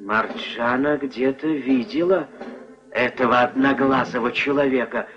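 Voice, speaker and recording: A man's voice: medium pitch, steady tone, unhurried. A man narrating a scripted fairy tale in a storytelling style, acting out the dialogue. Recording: dull old film soundtrack with music behind.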